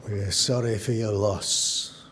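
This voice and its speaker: Pompous, formal colonial governor. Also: male voice, lowish voice, steady tone, slow, fairly bright tone, slight background noise. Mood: solemn. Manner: formal, condescending, smooth diplomacy. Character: formal, pious, self-important male colonial governor.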